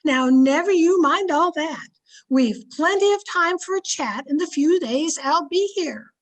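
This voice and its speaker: A woman's voice: high voice, lively intonation, a little slow. A woman playing a cheerful, meddlesome older unmarried aunt in an old-time radio drama, chatty and knowing. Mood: gracious, chatty.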